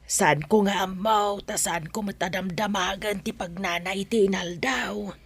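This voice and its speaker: An actress with an Ilocano accent playing a fussing village mother in a radio drama, with a raspy, creaky voice. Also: mid-range voice, measured pace, dry recording. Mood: nosy, chiding.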